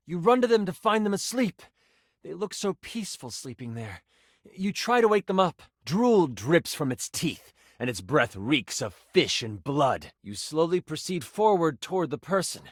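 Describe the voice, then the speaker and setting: A man's voice: a little high, fairly bright tone, dry recording, studio quality. An actor playing a male game master who narrates a fantasy adventure in a theatrical, suspenseful storytelling style for a video game. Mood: tense.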